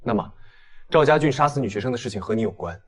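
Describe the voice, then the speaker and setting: A man playing a stern, restrained police detective in an audio drama, questioning gravely and with control. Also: medium pitch, expressive, fast talker, lightly acted. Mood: stern, probing. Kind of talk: dramatized audio fiction acting.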